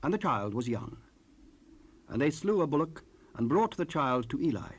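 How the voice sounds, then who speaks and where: A man narrating scripture in a solemn, measured, formal style for an audio drama. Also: a little high, sing-song, slightly rough.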